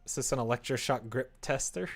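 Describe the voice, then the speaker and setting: A man streaming as he writes a visual novel, chatting casually and thinking out loud as he goes. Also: mid-range voice, creaky. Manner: thinks aloud, reads typed prose slowly and haltingly. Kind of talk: casual livestream chat, murmuring draft lines while writing.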